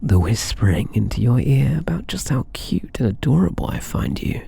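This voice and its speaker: A man's voice: mid-range voice, very animated, gravelly, mellow, breathy edge, full character voice. A British man playing a possessive, flirtatious alien catboy thief in an intimate ASMR roleplay, teasing and doting. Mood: seductive, tender. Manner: teasing, doting, purring endearments, unhurried.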